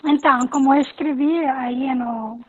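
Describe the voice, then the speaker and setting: Spanish-accented woman parent, high voice, hesitant, thin call audio. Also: fairly even intonation, unhurried, a little mellow. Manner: hesitant, self-correcting. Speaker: parent asking a question. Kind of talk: online Q&A question. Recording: tinny phone-like audio.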